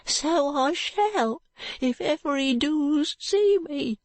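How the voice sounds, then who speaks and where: A woman voicing a frightened, pitiful ragged street boy with a high, cracked, tearful voice and slurred street speech. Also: expressive, slow pace, a little mellow, dry recording. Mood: pleading.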